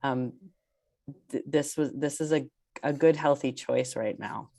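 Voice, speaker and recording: A woman theater director talking casually on a panel, halting and repeating words as she thinks. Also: rumbling low, creaky, mellow.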